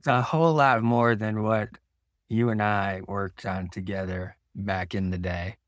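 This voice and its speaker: A man's voice: lowish voice, fairly even intonation, measured pace, no echo, studio quality. An American man hosting an interview podcast, introducing and questioning his guest in a conversational style. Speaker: podcast host.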